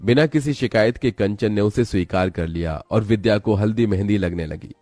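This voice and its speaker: Low-voiced man narrating a story. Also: normal speed, warm tone, dry recording. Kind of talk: scripted dramatic storytelling narration.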